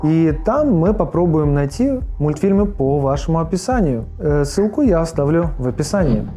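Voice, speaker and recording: A man with a fairly high voice narrates a casual review as host. Faint music plays behind his voice-over. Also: very animated, normal speed, warm tone. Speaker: video host reviewing forgotten cartoons.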